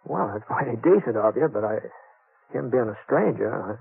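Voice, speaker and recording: A man's voice: mid-range voice, lively intonation, creaky, husky, faint music behind, heavily acted. A man playing a modest, courteous drifting gunslinger in a 1950s radio western, speaking hesitantly and folksily. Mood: hesitant, polite. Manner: hesitant, halting, folksy drawl in phrasing. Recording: dull old 1950s radio recording.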